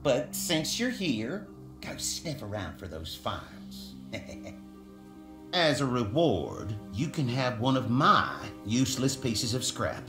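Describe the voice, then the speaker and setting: A pompous, swooping game miner. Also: male voice, mid-range voice, sing-song, slow, reverberant, music in the background. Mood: scheming, condescending. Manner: pompous, mocking, condescending. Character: smug, arrogant miner boss, greedy and condescending. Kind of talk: video game character acting.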